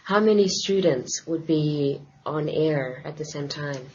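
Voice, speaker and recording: A woman's voice: low voice, unhurried, darkish tone. A woman interviewing a teacher, reacting with frequent encouraging exclamations. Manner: encouraging, many "wow" reactions. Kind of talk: casual interview. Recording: muffled lo-fi recording with faint hiss.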